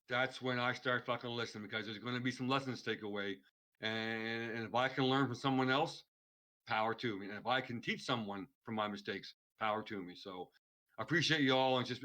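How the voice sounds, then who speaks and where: A man's voice: mid-range voice, pushed and bright. A man guesting on a podcast, talking earnestly and rambling in casual chat. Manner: earnest, rambling, restarts a lot. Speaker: streamer guest.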